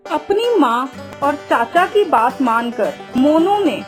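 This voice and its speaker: A woman's voice: sits high, lively intonation, slow, faint music behind. A woman reading scripted narration for a cartoon moral story as its storyteller.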